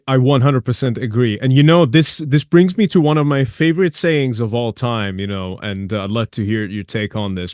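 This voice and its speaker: A man's voice: mid-range voice, darkish tone, no echo. Male podcast host, dry close sound. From Iran. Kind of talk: casual interview talk.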